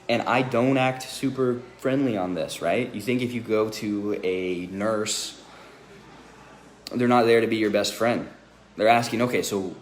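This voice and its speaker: A man giving an informal sales training talk in a conversational, instructive way, often checking in with the listener. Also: lowish voice. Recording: echoey room with faint noise.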